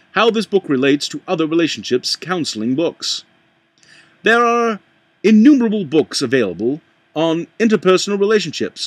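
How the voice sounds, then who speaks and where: A man reading a book aloud in a halting way, stumbling and rereading lines, with a put-on accent. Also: fairly high pitch, no echo. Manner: puts on an accent while reading. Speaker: amateur reader.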